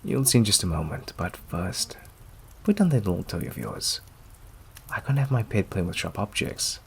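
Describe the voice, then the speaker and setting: Man acting a deep, gravelly, possessive vampire. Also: slight background noise. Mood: commanding, pleased. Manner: slow, silky, often breathy near-whisper, condescending endearments. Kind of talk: ASMR roleplay acting. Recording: steady rain ambience behind the voice. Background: rain.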